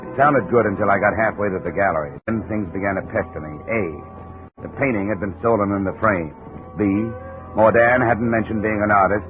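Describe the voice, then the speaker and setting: Low, hard-boiled radio detective played by an actor. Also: male voice, close to an everyday voice. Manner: clipped, dry, hard-boiled. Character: world-weary, wry hard-boiled male insurance investigator. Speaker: narrator and lead. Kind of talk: 1950s radio detective acting, first-person narration. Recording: tinny 1950 radio recording.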